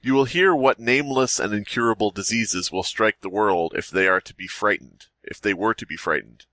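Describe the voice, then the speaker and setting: A man's voice: on the low side, moderate pace, bright, forceful tone, no echo. A man hosting a podcast, mixing casual comedic chat with reading horror stories aloud, joking, swearing and stumbling over words. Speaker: podcast host.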